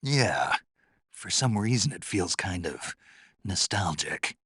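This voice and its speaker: A man playing a gruff, terse American soldier on a covert mission in a video game, with a low, gravelly, husky voice. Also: big pitch swings, fairly bright tone, dry recording, full character voice. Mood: businesslike. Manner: terse, deliberate, dry. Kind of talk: dramatic game voice acting.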